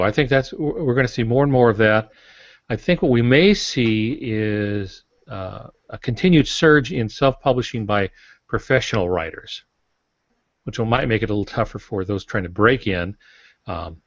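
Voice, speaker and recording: A man speaking as a guest author on a podcast, explaining things conversationally in an informal, folksy, opinionated way. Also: on the low side. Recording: roomy, dull lo-fi podcast audio.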